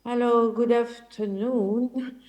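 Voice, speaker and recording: A woman with a French accent speaking remotely to a panel over a video call, in a measured, earnest way. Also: a little high, steady tone, warm tone, poor audio.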